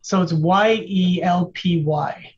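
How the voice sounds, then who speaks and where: A man's voice: sits high, slow, a little hiss or hum. Joking young Irish man, muffled. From Ireland. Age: young adult. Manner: relaxed and self-deprecating, with light jokes. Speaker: musician guest. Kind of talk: casual radio interview.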